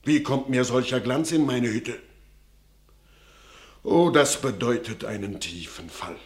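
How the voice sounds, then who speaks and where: A man's voice: mid-range voice, measured pace, lightly acted. An actor playing an anxious old village father who speaks in solemn, warning tones in classic radio drama verse. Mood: foreboding.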